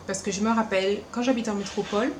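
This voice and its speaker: A young woman whose French carries a Caribbean lilt blended with Paris speech. She chats at a middle pitch, quick and animated with playful asides. The room is echoey and a faint hum sits in the background. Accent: French Caribbean, mixed with Paris French. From France, born in Paris, raised also in Martinique. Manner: chatty, animated, quick asides and acted-out quotes. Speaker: beauty vlogger. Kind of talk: casual chat to camera. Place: room at home.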